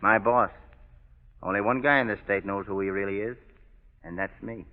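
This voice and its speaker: A man's voice: on the low side, fairly even intonation, moderate pace, close to an everyday voice. A man playing a sneering condemned gangster. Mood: taunting. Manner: tough, taunting, mocking laughter. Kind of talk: 1940s radio acting. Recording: tinny old radio broadcast with long reverb.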